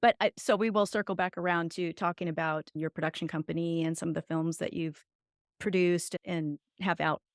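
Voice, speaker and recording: A woman with a slightly low voice hosts a podcast interview. She wanders through long, roundabout questions in a casual, chatty way, recorded dry and close. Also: steady tone, fairly quick, no room sound. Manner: circuitous, rambling questions. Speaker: podcast host.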